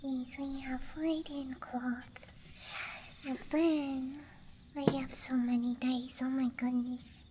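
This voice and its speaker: A woman giving a hesitant, musing, self-deprecating ASMR ramble in a soft, breathy whisper. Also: high-pitched, flat delivery, slow, background noise. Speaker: ASMR creator. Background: soft night ambience.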